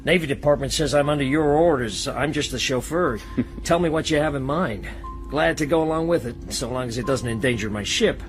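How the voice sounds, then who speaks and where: A folksy American sub captain played by a man with a medium-pitched, wry drawl. He is easygoing and informal, heard in a radio thriller over faint music. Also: fairly quick, natural voice. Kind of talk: radio drama acting.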